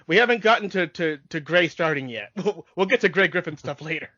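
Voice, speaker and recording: A man's voice: on the high side, animated, brisk, sharp tone, no echo. A man hosting a podcast in casual, fannish conversation. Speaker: podcast host. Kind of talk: casual fan podcast chat. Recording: dry, dull lo-fi recording.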